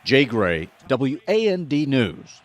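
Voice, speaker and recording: A man's voice: mid-range voice, very animated, slow pace. An American man narrating a scripted television news package as a correspondent.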